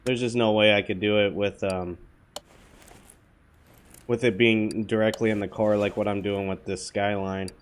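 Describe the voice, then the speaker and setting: A man with a hoarse voice from a cold gives rambling, offhand commentary while playing, talking to viewers with plenty of fillers. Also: lowish voice, flat delivery, normal speed, long pauses. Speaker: gaming channel host. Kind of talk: casual gameplay commentary.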